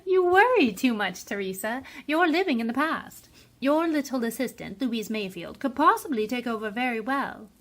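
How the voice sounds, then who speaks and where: Actress as bored society matron, fairly high voice, airy, languid, teasing, old-time radio play. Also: female voice, expressive, moderate pace, lightly acted.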